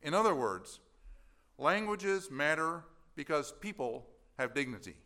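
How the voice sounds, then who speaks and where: A man's voice: on the high side, big pitch swings, measured pace, bright, forceful tone. A man giving a mission presentation at a church gathering, talking conversationally and putting questions to the audience.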